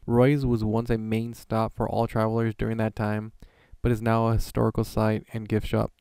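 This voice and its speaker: A man's voice: lowish voice, warm tone, clear, resonant tone, no room sound. A young adult man giving scripted voice-over narration as a travel vlogger.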